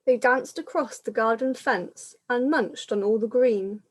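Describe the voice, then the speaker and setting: A woman, a primary school teacher, reading a picture book aloud to young children in a measured, explanatory manner. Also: a little high.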